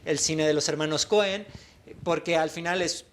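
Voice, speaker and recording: Give me a man with a slightly high voice, talking as a guest in a TV studio. He is thoughtful and measured, pausing with fillers as he explains his film ideas. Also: a bit bright. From Mexico. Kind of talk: TV interview answers.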